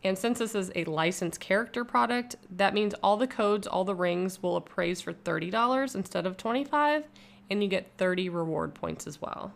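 A woman's voice: medium pitch, animated, moderate pace, gravelly. Chatty upbeat woman reviewing a product. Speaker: YouTube vlogger. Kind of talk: casual product review voice-over.

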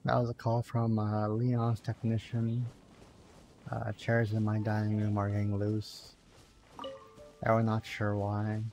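A soft-spoken, quiet man who is a little under the weather, chatting casually with viewers while streaming a game. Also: monotone, measured pace, soft background music. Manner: low-key, chatting with viewers between play. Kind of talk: casual gameplay stream chat.

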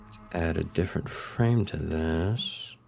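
A man running a tabletop game as game master, speaking haltingly and searching for words. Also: very deep voice, creaky, darkish tone, faint music behind.

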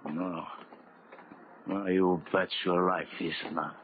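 An actor playing a greedy, furtive dockside villain in clipped, hesitant broken English, in 1930s radio horror style. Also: male voice, sits low, flat delivery, slow pace. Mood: furtive, uneasy. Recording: tinny, very noisy 1930s radio recording.

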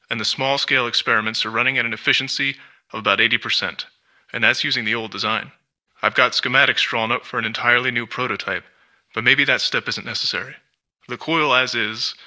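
Suspicious arrogant male scientist, adventure game monologue, extremely crisp forceful tone, condescending, precise. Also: mid-range voice. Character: arrogant, suspicious male lab scientist recording private work notes. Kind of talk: scripted adventure game voice acting, diary-like monologue.

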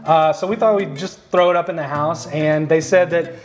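A man's voice: fairly high pitch, steady tone, normal speed. A man in his thirties co-hosting a house-flip home tour, chatting casually with an upbeat, joking manner, on a lapel mic in an empty renovated house. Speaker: house flipper co-host. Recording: echoey empty rooms, faint music.

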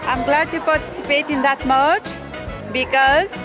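Proud woman from Mauritius, loud crowd. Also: high-pitched, steady tone, a little slow. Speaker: international student. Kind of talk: casual interview. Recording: crowd and music, voice from a distance.

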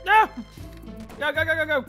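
A man in casual gameplay banter, excitable and playful, repeating phrases urgently. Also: very high voice, normal speed, soft background music. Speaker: gamer in a group video.

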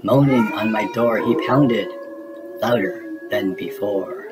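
A man reading a spooky rhyming children's story aloud in a theatrical, deliberate way, as a storybook narrator. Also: lowish voice, loud music behind the voice.

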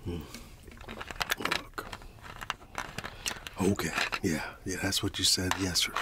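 A man performing an ASMR roleplay as a laid-back, teasing flatmate, speaking in a hushed, casual, slangy way with repeated phrases. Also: deep voice, slow, heavy background noise. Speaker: ASMR performer playing a roommate. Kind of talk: ASMR roleplay, whispered storytelling and one-sided chat.

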